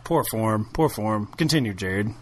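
A man co-hosting a podcast, his pitch swinging widely as he laughs and teases his friends. He talks in a mid-range voice with animated, playful energy. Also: unhurried, slight background noise. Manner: laughs often, playful teasing. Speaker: podcast co-host. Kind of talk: casual podcast chat.

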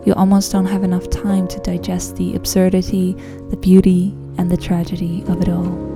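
A woman's voice: on the low side, completely flat delivery, moderate pace, over music. A woman whose first language is Dutch, reading a travel memoir aloud in a reflective, wry way. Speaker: narrator. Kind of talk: scripted travel memoir narration.

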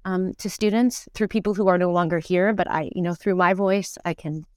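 A woman's voice: on the low side, fairly even intonation, fairly quick, clear, resonant tone, no echo, studio quality. A middle-aged woman, talking casually and reflectively as an interview guest, thinking aloud as she goes. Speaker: interview guest, musical theatre performer and teacher.

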